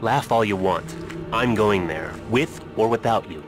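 An actor playing a weary, idealistic scientist in a sci-fi game, speaking earnestly and deliberately. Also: male voice, on the low side, over music, close to an everyday voice. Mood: impassioned. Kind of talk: video game cutscene acting.

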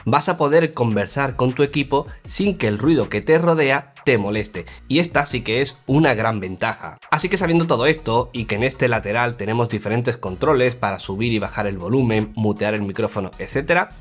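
A man presents a product review in a clear, conversational, explanatory way. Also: medium pitch, expressive, fairly quick, hardly any pauses. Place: indoor studio, grey backdrop. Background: background music.

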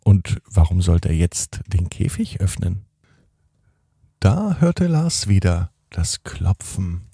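A man hosting and narrating a children's bedtime story in an expressive manner, speaking directly to young listeners. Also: deep voice, slow pace, gravelly, husky, dry recording. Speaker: podcast host and storyteller.

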